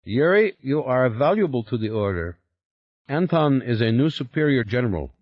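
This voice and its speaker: A man's voice: mid-range voice, very animated, normal speed, no room sound, muffled recording, pitched above the performer's own voice. A man voicing a patient, conciliatory male envoy of a secret order with a Scandinavian accent touched by Eastern Europe.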